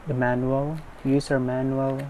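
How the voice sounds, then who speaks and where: Male unboxing narrator, mid-pitched, unhurried, casual and tentative; noisy recording. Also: little pitch movement, mellow. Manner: tentative, thinking aloud. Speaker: unboxing video maker. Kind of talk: casual unscripted product unboxing commentary. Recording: clearly noisy background.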